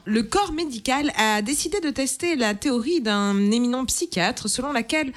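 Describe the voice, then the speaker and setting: A woman columnist on a radio show, chatting cheerfully and reading out a joke in a storytelling tone. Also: fairly high pitch. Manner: cheerful, laughs often, reads in a deliberate storytelling tone. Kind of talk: casual chat and reading out a joke.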